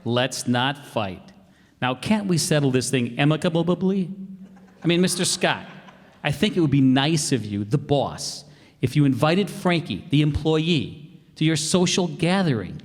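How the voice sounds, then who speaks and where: A man's voice: on the high side, expressive, a little slow, long reverb tail. A man playing a brash, folksy, self-satisfied bandleader in a radio comedy, with a breezy, mock-grandiose way of talking. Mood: mock-earnest persuading. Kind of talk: old-time radio comedy acting before an audience.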